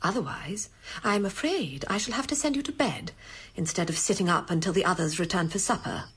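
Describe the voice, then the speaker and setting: An actress playing an imperious middle-aged college headmistress in a radio drama, with a husky, haughty, clipped delivery. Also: female voice, lowish voice, animated, fairly quick, a bit bright, full character voice. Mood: scornful, threatening.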